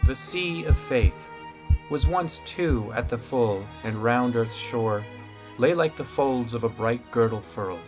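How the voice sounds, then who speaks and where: Man playing slow, earnest poetry reciter. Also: medium pitch, over music, natural voice, low-quality recording. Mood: solemn, mournful. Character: troubled, earnest husband reciting melancholy poetry.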